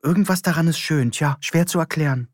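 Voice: medium pitch, a little fast, dry recording, studio quality, pitched above the performer's own voice, lightly acted. A narrator voicing a nervous, chatty middle-aged man, a former postman who rambles eagerly. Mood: rambling. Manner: chatty, fussy, eager to please. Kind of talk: audiobook character voice.

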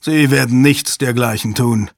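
A man's voice: medium pitch, unhurried, creaky, no room sound, studio quality. A man voicing a resolute older male commander in an audiobook, firm and authoritative, with clipped delivery. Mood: stern. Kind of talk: dramatic audiobook character voice.

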